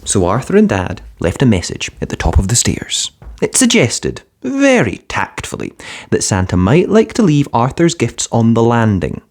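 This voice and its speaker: A man reading a children's picture book aloud in a gentle, expressive bedtime-story manner. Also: mid-range voice, normal speed.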